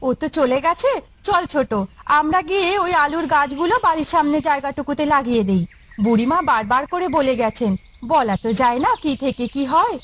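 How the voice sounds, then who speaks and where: A woman playing a practical elder co-wife in a village cartoon, earnest and expressive. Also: high voice, a little fast. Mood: concerned. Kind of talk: cartoon voice acting.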